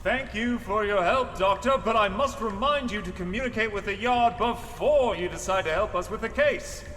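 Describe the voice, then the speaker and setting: A man's voice: high voice, normal speed, a bit bright, a little hiss or hum. An actor playing a commanding but friendly male Victorian police inspector in an audio drama, formal and urgent. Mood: stern, exasperated. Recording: long reverb with faint music. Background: faint music.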